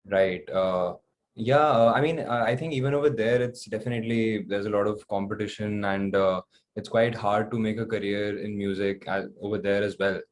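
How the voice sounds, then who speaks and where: A man's voice: lowish voice, fairly even intonation, moderate pace. A young man from New Delhi with an Indian accent, giving casual, somewhat hesitant interview answers as he thinks aloud. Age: young adult. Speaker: guest, singer-songwriter.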